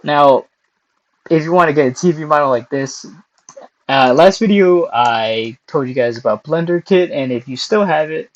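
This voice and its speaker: A young man hosting a casual screen-recorded software tutorial, hoarse and losing his voice, clearing his throat often between steps. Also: fairly high pitch, moderate pace, highs cut off. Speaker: tutorial host.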